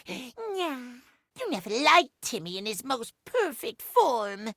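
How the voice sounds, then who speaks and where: A manic, self-adoring little cat from a video game, with a bright, gravelly voice that swoops wildly. He is cutesy and vengeful at once, theatrically referring to himself in the third person. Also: extremely high pitch, no echo, clean studio sound, heavily acted. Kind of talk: video game character acting.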